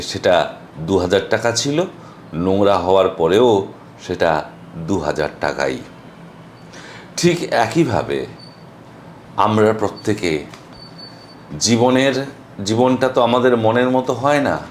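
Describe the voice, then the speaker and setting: A man with an Indian Bengali accent delivering a motivational monologue as a storyteller, earnest and emphatic, with dramatic pauses and some English phrases mixed in. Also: lowish voice, a little hiss or hum. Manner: mixes English phrases into speech. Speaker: motivational vlogger.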